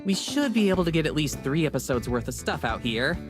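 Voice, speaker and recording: Eager young video maker. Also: female voice, very animated, a little fast. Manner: enthusiastic, pitching ideas. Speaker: visual novel character. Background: game music.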